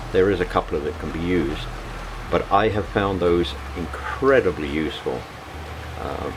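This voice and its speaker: A man giving a casual, unscripted hobby vlog narration in a halting way, often repeating words. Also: low-pitched, noisy background.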